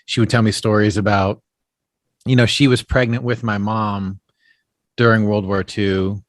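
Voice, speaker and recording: A man with a lowish voice tells stories as a podcast guest. He rambles along, filling pauses with "you know" and "um". The sound is dry and close, with no room echo. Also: flat delivery. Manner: rambling storyteller, leans heavily on "you know" and "um". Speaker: actor and puppeteer guest. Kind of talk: casual podcast interview.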